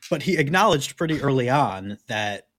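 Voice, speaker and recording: A man co-hosting a casual sports podcast, explaining things in a wry, measured way. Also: medium pitch, very animated, fairly bright tone, dry recording, studio quality. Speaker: podcast co-host.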